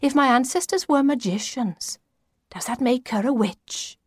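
Woman as a nine-year-old boy, raised pitch, puzzled, dutiful, questioning, storybook character, dry sound. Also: a little slow, slightly rough, no room sound. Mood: muttering, curious.

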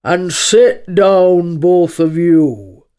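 Sleepy great male lion, acted by a woman, storybook beast, hollow booming tone, dragging yawned words. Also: mellow, clean tone. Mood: lazy command. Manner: yawning, drawn-out speech.

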